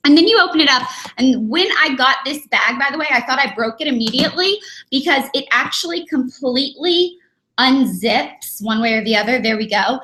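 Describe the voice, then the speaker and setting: A woman's voice: high voice, lively intonation, fairly quick, bright, forceful tone, rough recording. A young woman chatting casually on a live vlog from her dining room, rambling with lots of fillers and a little sniffly from a cold. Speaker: beauty vlogger. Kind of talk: casual live-stream chat.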